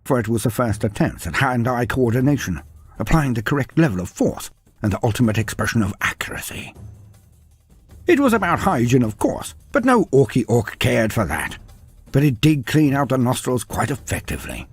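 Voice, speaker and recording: A man's voice: mid-range voice, very animated, normal speed, husky. A man narrating a humorous story in a dry, wry manner, breaking off into playful sidebars. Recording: faint music behind narration.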